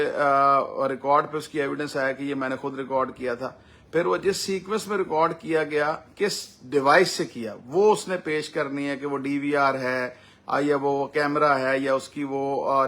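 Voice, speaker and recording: A man with a Pakistani accent giving a semi-formal explainer as a lawyer, speaking deliberately with drawn-out hesitations. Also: on the high side, a bit bright.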